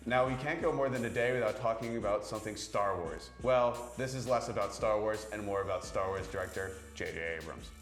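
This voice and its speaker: A man's voice: fairly low pitch, fairly quick, hardly any pauses, reverberant, soft background music. A young man co-hosting a gaming news show, reading scripted items with joking asides. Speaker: news co-host. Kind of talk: scripted news read with banter.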